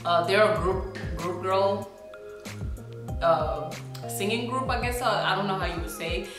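A young woman vlogs to camera in a very echoey room, with music clearly playing behind her. She talks in a chatty, animated way, swinging between loud emphasis and soft asides. Her voice sits mid-pitch for a woman. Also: measured pace. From South Sudan. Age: young adult. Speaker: vlogger. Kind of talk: casual chat to camera. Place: small indoor room with a plain backdrop.

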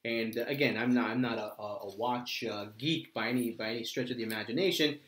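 A middle-aged American man chatting casually in a halting, reflective way, often repeating words. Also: mid-range voice, a bit bright. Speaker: co-host, the father. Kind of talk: casual chat. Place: small room indoors, seated at a table. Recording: slightly echoey room.

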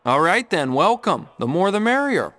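An actor playing a cocky, friendly young race car in a video game, breezy and boyish, with an American accent. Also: male voice, high voice, big pitch swings, moderate pace, pushed and bright, no echo, heavily acted.

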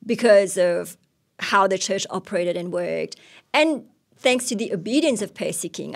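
A woman's voice: on the low side, gravelly. A woman in her thirties from Mauritius, speaking into a handheld mic as a missionary giving personal testimony in an onstage interview. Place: indoor stage with curtain backdrop. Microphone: handheld microphone.

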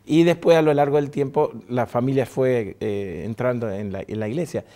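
Jovial Argentine pastor in a studio. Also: male voice, mid-range voice, moderate pace, warm tone. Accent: Argentine Spanish. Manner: jovial, laughs often, chatty with restarts. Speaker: pastor and guest. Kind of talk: relaxed interview storytelling. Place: TV studio set.

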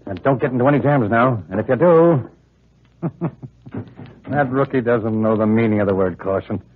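A seasoned, bossy G-man played by a male actor in a vintage radio crime play. His voice is mid-pitched and comes through a thin, phone-like old recording. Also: moderate pace, slight background noise, close to an everyday voice. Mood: brisk, watchful. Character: seasoned federal agent bossing his rookie partner. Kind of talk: 1940s radio acting.

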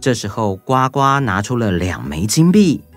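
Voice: medium pitch, very animated, normal speed, dry recording. A narrator reading a scripted children's story. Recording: music bed behind voice.

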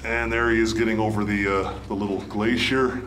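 A man's voice: on the low side, little pitch movement, brisk, noisy background. A man from Canada hosting an exploration vlog, giving casual, laid-back, unscripted commentary as he walks with the camera. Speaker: host of a mine exploration video series. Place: inside a small mine tunnel and outdoors in woods.

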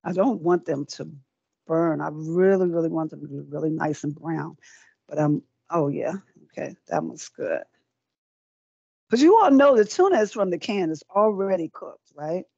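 A woman's voice: low-pitched, lively intonation, normal speed, vocal fry, mellow, husky, dry recording. Chatty woman cooking on camera, lo-fi. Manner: chatty and encouraging, repeats words while working. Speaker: cooking demonstrator. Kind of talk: live cooking demonstration. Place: small indoor set with folding screens. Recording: dry, dull lo-fi sound.

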